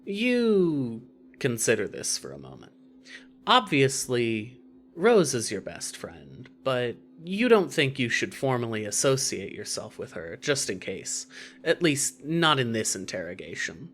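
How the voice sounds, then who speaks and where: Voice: medium pitch, huge pitch swings, unhurried, a bit bright, soft background music. A narrator reading scripted second-person fiction for an audio story.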